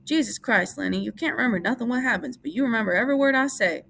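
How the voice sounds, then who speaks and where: Woman voicing a weary ranch hand, quiet, monotone, gravelly. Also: a little high, fast, a little hiss or hum, voice pushed up. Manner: quiet, wooden.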